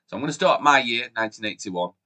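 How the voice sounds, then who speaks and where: A man in his forties with a Yorkshire accent, hosting a casual countdown vlog in an upbeat, chatty way while sounding chesty from a cold. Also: fast talker. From Yorkshire, England. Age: 40s. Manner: chatty and upbeat, lively jumps in pitch and loudness. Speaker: YouTube host. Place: room at home with shelves behind the camera.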